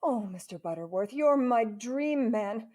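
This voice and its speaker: A woman acts out an adoring, swooning admirer in a radio comedy. Her voice is high and mellow, gushing over her idol. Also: lively intonation, measured pace. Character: swooning, adoring female fan. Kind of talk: old-time radio comedy acting.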